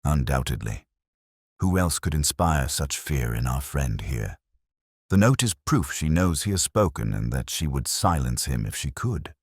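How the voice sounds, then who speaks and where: A man voicing a keen, calm Victorian gentleman detective in a storybook, speaking formally with quiet authority. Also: very deep voice, a little slow, slightly rough, no room sound, clean studio sound, heavily acted. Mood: assured.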